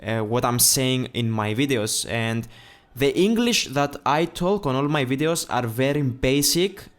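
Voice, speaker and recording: A man's voice: mid-range voice, lively intonation, a little slow. A young man with a Greek accent, a fitness vlogger talking earnestly to camera in a large indoor gym, in simple wording with restarts. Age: young adult. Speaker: fitness youtuber. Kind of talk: casual vlog talk to camera.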